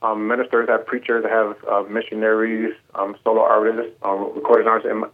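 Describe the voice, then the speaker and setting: A man's voice: on the low side, monotone, quick pace. Man from Puerto Rico on a tinny phone line. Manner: hesitant, many ums and restarts. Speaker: choir director. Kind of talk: phone interview.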